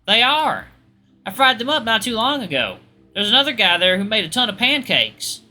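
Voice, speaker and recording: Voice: medium pitch, big pitch swings, normal speed, bright, forceful tone, faint music behind, voice pushed up. A performer voicing a friendly, folksy young female college student with a Southern accent, polite and eager to help. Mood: friendly, chatty.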